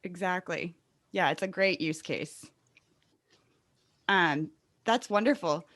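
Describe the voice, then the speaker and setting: Low-voiced female podcast host, dry sound. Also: slow pace, fairly bright tone, no echo. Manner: affirming, agreeable. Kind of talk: conversational podcast interview.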